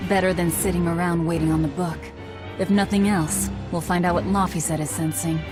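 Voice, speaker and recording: A woman's voice: on the low side, steady tone, fairly quick, close to an everyday voice. A woman playing a guarded young female warrior in a video game, terse and cool in delivery. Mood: resolved. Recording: music under the voice.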